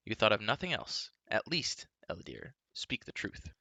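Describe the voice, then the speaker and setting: A man voicing a hot-tempered young hero, loud. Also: on the low side, wildly swooping pitch, normal speed, gravelly, dry recording, exaggerated voice. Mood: indignant. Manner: loud, accusing, indignant.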